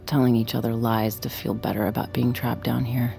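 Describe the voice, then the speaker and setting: A woman's voice: extremely low pitch, little pitch movement, brisk, vocal fry, dark tone, soft background music, full character voice. An actress playing a bitter, guarded woman in an audio drama, talking in a blunt, dry, sardonic way. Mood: bitter, resentful. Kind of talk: naturalistic audio drama acting.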